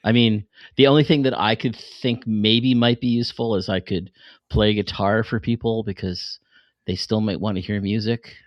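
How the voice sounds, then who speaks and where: A man chatting casually as a podcast co-host in a jokey, animated way. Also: on the low side, normal speed, no room sound, lo-fi sound. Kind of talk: casual chat.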